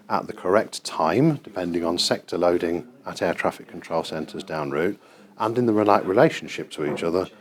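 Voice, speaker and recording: A middle-aged British man gives an explanatory guided tour in a measured, precise, emphatic way. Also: low-pitched. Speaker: air traffic control manager and tour guide. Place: large airport control tower room, indoors. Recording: clear ambient noise of a working control room. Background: control room ambience.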